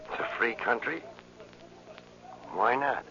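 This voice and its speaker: A man's voice: medium pitch, slow pace, a crowd in the background. An actor playing a cocky, flirtatious tough guy with slangy, smug swagger in a 1940s radio drama. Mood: smug flirting. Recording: muffled, noisy old radio recording.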